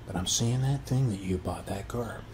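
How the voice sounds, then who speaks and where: A man's voice: lowish voice, normal speed, noisy background. An American man performing an ASMR roleplay as a chatty, boastful suburban neighbor, rambling in a folksy way and often checking in with the listener.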